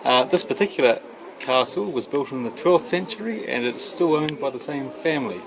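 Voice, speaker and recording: A man's voice: mid-range voice, animated, moderate pace, crowd noise, low-quality recording. A middle-aged man with a New Zealand accent, giving casual travel narration with dry, wry understatement. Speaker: travel vlogger. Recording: loud vehicle and outdoor noise.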